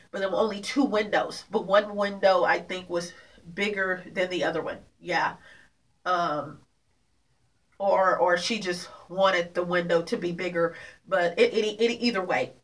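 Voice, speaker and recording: A woman's voice: mid-range voice, fairly bright tone, recorded from a distance. A woman talks casually to her viewers from her apartment, her voice nasal and congested. She halts, restarts and repeats words as she goes. She sits a little back from the camera mic, so the room comes through. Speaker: vlogger. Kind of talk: rambling casual vlog.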